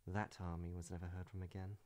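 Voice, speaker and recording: A man's voice: sits low, flat delivery, fast talker, darkish tone, lightly acted. A man voicing a wry, world-weary woman adventurer in a comic dub, with a dry, sardonic delivery. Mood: measured, wry. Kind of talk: comic dub acting with reflective monologues.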